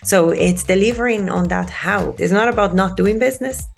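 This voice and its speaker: A woman's voice: medium pitch, normal speed, creaky, soft background music. A woman from Brazil, telling her story as a guest in a chatty podcast interview, with plenty of "you know" and "whatnot". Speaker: guest, sustainability strategist.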